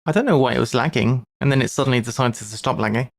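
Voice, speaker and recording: A man streaming casually, thinking aloud in short, halting fragments as he works through puzzles. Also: medium pitch, creaky. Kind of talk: casual live-stream chat while solving crosswords.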